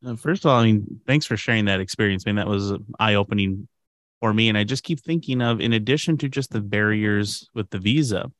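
Halting low-voiced male podcast host. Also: dry recording, clean studio sound. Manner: halting, repeats words when starting sentences. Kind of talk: interview questions.

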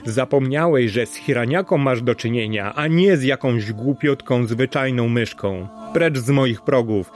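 A man's voice: medium pitch, a little slow, hardly any pauses, a little mellow, soft background music, close to an everyday voice. A man voicing a clever small female mouse in a storybook, proud and forthright. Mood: indignant. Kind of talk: storybook voice acting.

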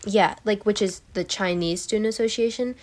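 Teenage girl, interview guest, casual rambling, full of fillers, animated storytelling, mid pitch. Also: normal speed. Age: teens. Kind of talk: casual chat.

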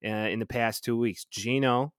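A man's voice: medium pitch, fairly even intonation, normal speed, smooth, no room sound, clean studio sound. An American man co-hosting a casual sports podcast, chatting with a profane, teasing edge. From Chicago, United States.

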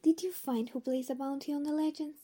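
A woman's voice: sits high, steady tone, brisk, mellow, natural voice. A woman playing a sweet, eager and helpful young woman in an audio drama. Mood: curious.

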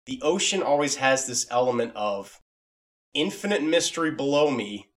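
A male podcast co-host in casual, unscripted conversation. He speaks at a medium pitch with an earnest, enthusiastic manner and tends to ramble as he works toward his point. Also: animated, normal speed. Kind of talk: casual, unscripted interview talk.

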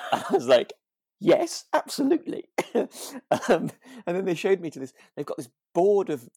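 Animated British man telling stories casually. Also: high voice, moderate pace, gravelly, husky, dry recording, studio quality. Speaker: podcast co-host. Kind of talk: casual anecdotal chat.

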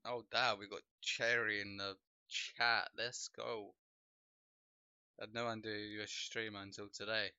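Young polish guy rambling on stream, low-ish voice but really bright and clean, muffled lo-fi mic, dry. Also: unhurried, clean tone, dry recording. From Poland. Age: young adult. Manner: relaxed, rambling, heavy with fillers like "like" and "bro". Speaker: streamer and podcast host. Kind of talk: casual chat on a livestream. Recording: dull lo-fi home mic sound.

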